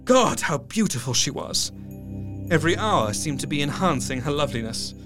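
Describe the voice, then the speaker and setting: An actor playing a grieving young doctor, narrating his diary in a measured, literary and solemn way. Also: on the high side, very animated. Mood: sombre, tender. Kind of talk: dramatic first-person audio drama narration. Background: music.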